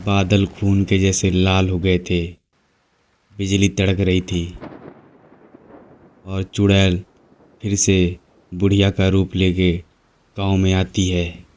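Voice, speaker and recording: A male narrator telling a horror cartoon story in an even, unhurried way. His voice is deep, dark and very monotone, delivered slowly over faint music. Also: slight background noise.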